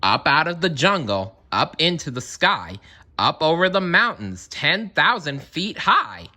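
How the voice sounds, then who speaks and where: Male children's storyteller: slow, very lively intonation, expressive, dramatic emphasis. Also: a little high. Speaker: storybook reader. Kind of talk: expressive children's read-aloud narration with asides.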